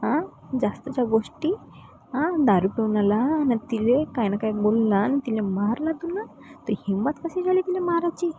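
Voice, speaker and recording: A woman acts as a stern village mother in a cartoon comedy, with a fairly high, mellow voice. She bosses and scolds with repeated commands in a Varhadi Marathi accent, over faint background noise. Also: lively intonation, moderate pace, natural voice. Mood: angry, scolding. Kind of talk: amateur cartoon comedy dubbing.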